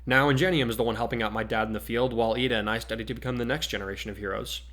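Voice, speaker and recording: A man's voice: medium pitch, fairly even intonation, racing, a bit bright. A man narrating a story aloud as a dramatic reader of fiction.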